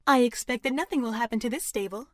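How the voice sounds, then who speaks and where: A woman playing a coldly logical facility overseer in an audio drama, speaking in a formal, measured, detached manner. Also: fairly high pitch, a bit bright, no room sound, studio quality, natural voice.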